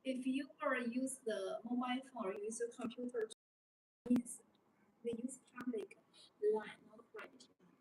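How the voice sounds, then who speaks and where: A woman's voice: a little high, steady tone, a little slow. A young woman, a non-native English speaker, giving a live product sales demonstration in simple, choppy phrasing. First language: not English. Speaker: live-stream sales presenter. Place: small showroom studio set, indoors. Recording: roomy, distant mic with background noise.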